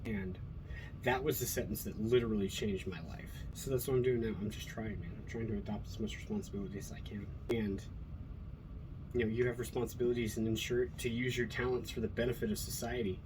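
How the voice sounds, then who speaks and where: A man's voice: on the low side, fairly even intonation, brisk. A man from Colorado talking casually and profanely, rambling with many fillers while reacting to an old speech and his upbringing. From Colorado, USA. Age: adult with a school-age son. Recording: noticeable background noise.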